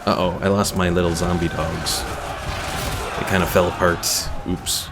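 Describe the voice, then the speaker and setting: A man's voice: sits low, little pitch movement, moderate pace, music in the background. A man giving casual, chatty live commentary on a game he plays and reading out viewer comments. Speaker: game streamer.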